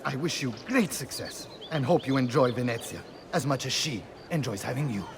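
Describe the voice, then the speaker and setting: A man's voice: mid-range voice, animated, a little fast, background noise, close to an everyday voice. A man playing a polished Venetian tour guide, speaking in a formal, flowery, welcoming way. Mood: showy, welcoming. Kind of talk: video game character acting, formal guided-tour speech.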